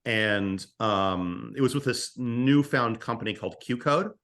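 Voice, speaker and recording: A man speaking as a podcast guest, telling a story casually and haltingly, thinking aloud in short phrases. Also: mid-range voice, fairly bright tone. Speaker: podcast guest, screenwriter and co-creator of an audio drama.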